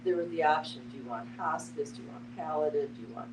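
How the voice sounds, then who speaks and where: A middle-aged woman speaks from well back from the microphone in an echoey space with a clear hum. She is earnest and deliberate, stressing her points as she shares a personal account with a group. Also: deep voice. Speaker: panel participant, family caregiver. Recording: echoey, distant mic, noisy hum.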